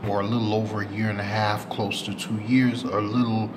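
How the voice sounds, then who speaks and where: A man with a fairly low voice talks through community news for his subscribers, recorded with clear background noise. He speaks off the cuff and rambles, circling back to correct and approximate what he has just said. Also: flat delivery, a little fast. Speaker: host of a community news channel. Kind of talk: unscripted news commentary to subscribers. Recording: clear background noise, ambient room sound.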